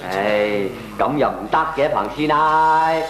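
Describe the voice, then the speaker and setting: A mild, placating man with very lively intonation reasons and lectures to avoid trouble. His accent is colloquial Hong Kong Cantonese, in radio comedy style. The recording is roomy and echoing. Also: medium pitch, slow pace. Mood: placating, reasoning. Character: mild, reasoning man who dodges confrontation.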